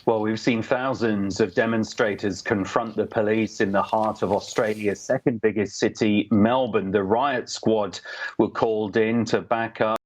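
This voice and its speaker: A man's voice: on the low side, steady tone, measured pace, low-quality recording. A man giving a broadcast news report as a correspondent.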